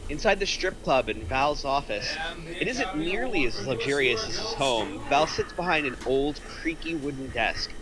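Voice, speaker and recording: A man's voice: medium pitch, very animated, moderate pace, crowd noise, low-quality recording. A man reading screenplay action aloud as a scripted narrator. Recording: faint background noise.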